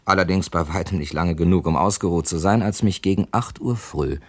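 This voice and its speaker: An actor playing a wry writer who narrates his own murder mystery in a measured German radio drama style. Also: sits low, slight background noise, close to an everyday voice. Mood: pensive narration. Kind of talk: 1950s-80s German crime radio drama acting.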